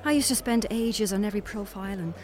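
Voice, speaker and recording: A woman's voice: medium pitch, darkish tone, close to an everyday voice. An actress with an Irish accent playing a frank, weary woman on a first date in an audio drama. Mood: wry. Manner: frank, dry, brisk. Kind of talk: naturalistic audio drama dialogue.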